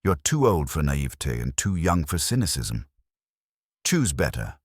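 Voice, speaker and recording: A man's voice: very deep voice, big pitch swings, unhurried, a little mellow, slightly rough, dry recording, clean studio sound, full character voice. A man voicing a stern, erudite detective who speaks in formal, precise, cutting sentences, in a mystery story. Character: stern, erudite male detective, disdainful and exacting.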